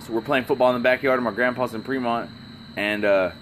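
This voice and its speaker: A man telling profane stories in casual chat, animated and laughing through them. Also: medium pitch, fast, a little hiss or hum. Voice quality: gravelly, swears constantly. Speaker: podcast co-host telling stories.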